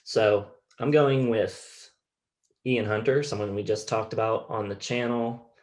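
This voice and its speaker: A man co-hosting a music show, chatting casually in a measured, halting way. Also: fairly low pitch, fairly even intonation, a little mellow. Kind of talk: casual chat.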